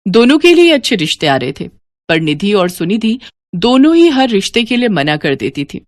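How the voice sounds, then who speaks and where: Animated woman storyteller. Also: mid-range voice, normal speed, no room sound, clean studio sound. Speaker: storyteller narrator. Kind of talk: scripted moral story narration in character voices.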